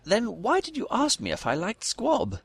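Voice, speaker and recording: Man acting a wildly swooping, husky British statesman. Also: sits high, huge pitch swings, moderate pace, dry recording, heavily acted. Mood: polite, puzzled. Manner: pompous, formal, effusive. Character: pompous, sentimental British male statesman, childlike teddy lover. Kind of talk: comedic audio drama acting.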